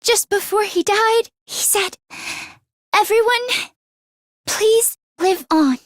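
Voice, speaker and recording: Anime game schoolgirl, earnest squeamish tinkerer type, really high and animated, close dry sound. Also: dry recording. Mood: relieved, gentle. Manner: expressive, earnest, quick to exclaim.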